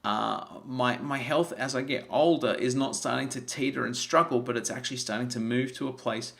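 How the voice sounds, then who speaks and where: An earnest man talks through a motivational livestream. His voice sits at an ordinary mid pitch, and he often repeats words and restarts his sentences as he thinks aloud. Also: fairly even intonation, moderate pace, gravelly, a bit bright. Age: 40s. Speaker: health vlogger. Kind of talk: live-streamed motivational talk.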